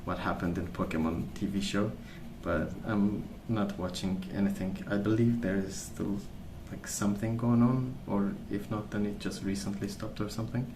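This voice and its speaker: A young man speaks softly in a lowish voice, as an ASMR creator rambling along. His manner is gentle and unhurried, as if thinking aloud. The room is echoey, with clear background noise behind him. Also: steady tone. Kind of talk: soft-spoken ASMR rambling.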